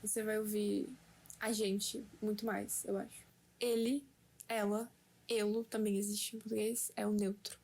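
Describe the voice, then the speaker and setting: A young Brazilian woman giving a slow, patient, playful lesson at home to a friend. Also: mid-range voice, level tone, a little mellow. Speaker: language teacher for a friend. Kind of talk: casual home-made lesson.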